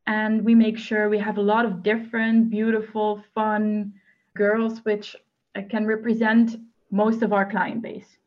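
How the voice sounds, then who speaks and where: A young woman, a guest talking about her work in a relaxed, plain way. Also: medium pitch, unhurried, lo-fi sound. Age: 20s. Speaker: photographer guest. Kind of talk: casual interview chat.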